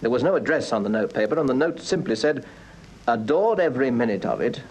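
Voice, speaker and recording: Husky British police inspector. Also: male voice, mid-range voice, expressive, fast talker. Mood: factual, methodical. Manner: matter-of-fact, methodical reporting. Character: brisk, businesslike male police inspector, deferential to his chief. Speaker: actor in detective radio drama. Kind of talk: full-cast radio crime drama acting.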